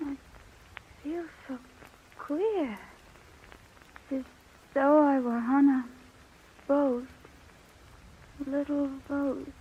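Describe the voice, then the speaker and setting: Sweet high-voiced, slow-talking young wife. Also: female voice, slight background noise. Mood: drowsy. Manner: gushing, gentle, tender. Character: sweet, soft, easily hurt newlywed sister. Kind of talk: old-time radio drama acting. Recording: dull vintage radio recording.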